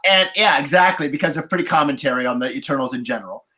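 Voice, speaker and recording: A man in a casual podcast roundtable, talking in a rambling, halting way and repeating words as he forms his thoughts. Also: medium pitch, animated, sharp tone. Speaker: podcast panelist.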